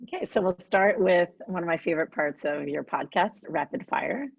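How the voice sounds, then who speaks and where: A woman guest host who writes and edits, asking quick, friendly interview questions. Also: lowish voice, gravelly, breathy edge, dry recording. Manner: friendly and brisk, with light laughs. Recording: dull, dry lo-fi audio.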